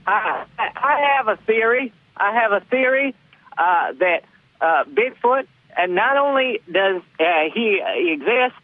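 A high-pitched man rambling into a dry, tinny phone line. He stumbles over his words and keeps restarting his sentences. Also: no room sound. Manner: rambling, halting, excitable. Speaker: caller. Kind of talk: comedic call-in.

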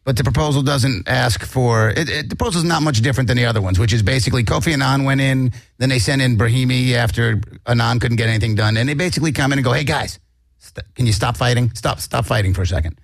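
A man's voice: medium pitch, quick pace, dry recording. A man hosting a casual comedic news chat, wry and sarcastic, laughing often. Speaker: podcast host.

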